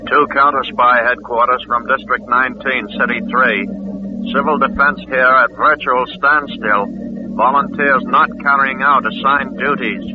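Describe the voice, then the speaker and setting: Actor as official agent, tinny noisy transmission. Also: male voice, mid-range voice, fairly even intonation, measured pace, natural voice. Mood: terse. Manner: clipped, telegraphic, impersonal. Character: brisk, official male district agent sending a report. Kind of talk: 1940s-50s radio drama acting. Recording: noisy, tinny old radio recording.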